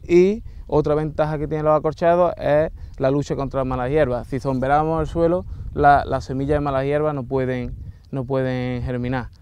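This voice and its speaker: A man's voice: medium pitch, steady tone, normal speed, a little mellow, smooth. A young man with a Spain accent giving a technical explanation as an agricultural technician. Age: young adult. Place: outdoors beside olive rows.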